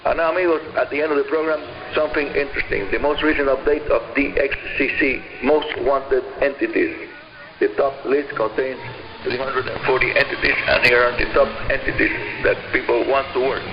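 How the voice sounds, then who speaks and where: Radio host, male, seventies, Cuban accent, native Spanish speaker. Creaky voice, emphatic delivery, dull shortwave sound. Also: medium pitch, steady tone, fairly quick, over music. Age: 70s. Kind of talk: scripted shortwave radio hobby program narration. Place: radio broadcast heard over shortwave. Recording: muffled, noisy shortwave radio reception.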